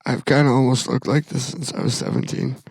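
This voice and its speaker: A man's voice: mid-range voice, fairly even intonation, fairly quick, creaky, darkish tone, slightly rough, no room sound. A man in his thirties co-hosting a podcast, chatting casually in a rambling, self-deprecating way and laughing at his own jokes. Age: 30s. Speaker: podcast co-host. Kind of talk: casual chat.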